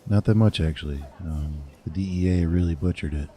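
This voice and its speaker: A gruff, wary veteran detective, played by a man, dry and blunt. His voice is deep and very dark. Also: brisk, lightly acted.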